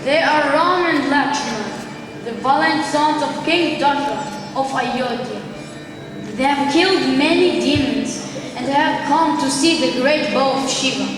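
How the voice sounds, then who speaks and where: Girlish woman as high-voiced venerable sage, echoing hall. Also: measured pace. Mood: formal, pleased. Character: venerable male sage, earnest, commanding, kindly. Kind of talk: school stage play declamation. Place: large school gym. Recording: echoey large hall, distant mic, music.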